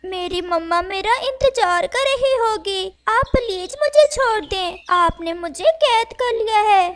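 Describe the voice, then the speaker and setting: Woman as a tiny high-pitched firefly boy, pleading. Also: expressive, unhurried, noisy background. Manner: plaintive, pleading. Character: small captive young firefly boy, pleading, brave.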